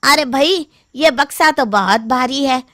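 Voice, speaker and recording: Woman playing a greedy young sidekick, very high-pitched. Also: measured pace, pushed and bright, dry recording, clean studio sound. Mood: brash. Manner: wheedling, brash. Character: greedy, cocky young man, easily scared sidekick. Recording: noisy, clicks in background.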